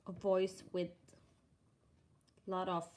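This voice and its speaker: Norwegian lady hosting a stitching stream, talks real slow and soft, accent, just chatting w chat. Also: fairly low pitch, completely flat delivery. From Norway. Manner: slow and soft-spoken, reading chat aloud. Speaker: stitching livestream host. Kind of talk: casual livestream chat.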